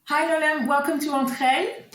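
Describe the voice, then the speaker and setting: A woman hosting a podcast, giving a polished read-out introduction and then asking her guest questions in a clear, articulate way. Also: high-pitched, fairly even intonation, normal speed, fairly bright tone, reverberant, faint music behind, rough recording. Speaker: podcast host. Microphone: remote recording.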